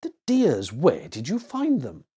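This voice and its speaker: A fond old grandmother from a children's storybook, played by a man. She speaks with gentle affection and a pitch that rises and falls freely. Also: wildly swooping pitch, unhurried, husky, full character voice. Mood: fond surprise. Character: kindly, affectionate old Mrs Claus.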